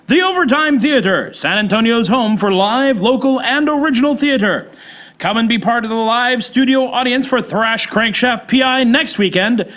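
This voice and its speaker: Bright, high-voiced male radio announcer. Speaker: announcer and writer.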